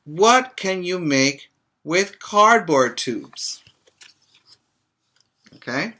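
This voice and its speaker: A man's voice: high-pitched, very animated, extremely slow, bright, forceful tone. A middle-aged man, a preschool teacher, reading a children's book aloud and explaining it to young children in an instructive way with check-in questions.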